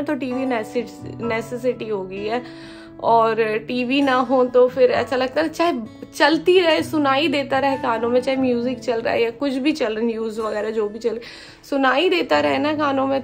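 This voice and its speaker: A woman's voice: high-pitched, fairly even intonation, moderate pace, gravelly. A woman in her thirties from India with an Indian accent, chatting casually to a phone camera at arm's length in her bedroom, slipping English phrases into her talk. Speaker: vlogger. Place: bedroom at home. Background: music playing.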